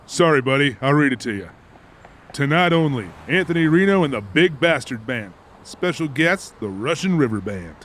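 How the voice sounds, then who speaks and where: A man's voice: medium pitch, lively intonation, normal speed, a bit bright, a little hiss or hum, close to an everyday voice. Male actor as wisecracking, exasperated regular guy for audiobook; middle register, dry delivery. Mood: surprised. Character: exasperated, wisecracking everyman sidekick to a killer. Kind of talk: audiobook character acting.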